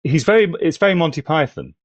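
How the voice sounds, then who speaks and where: A man's voice: on the high side, lively intonation, fast, dry recording, lo-fi sound. A man talking casually and analytically as a podcast panellist, thoughtful and a little hesitant.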